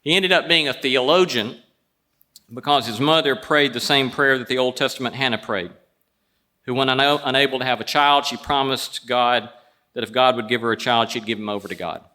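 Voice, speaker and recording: A man hosting a show, telling prepared stories and introductions in a wry, deliberate way. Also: mid-range voice, bright, forceful tone. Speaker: show host introducing a guest. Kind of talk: prepared storytelling introduction before an audience.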